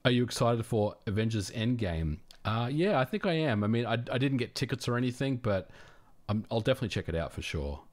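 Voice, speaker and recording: A man with an Australian accent hosting a casual livestream, answering viewer questions in a relaxed, friendly way with frequent fillers and restarts. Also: medium pitch, fairly quick. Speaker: livestream host.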